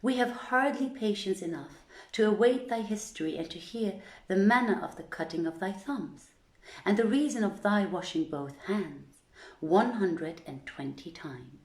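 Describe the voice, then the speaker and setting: A woman reading an old literary tale aloud in a deliberate, theatrical storytelling manner with measured phrasing. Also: mid-range voice, expressive, a little mellow. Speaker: storyteller, reader. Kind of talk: dramatic scripted storytelling, archaic literary narration. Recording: roomy, echoing voice recording.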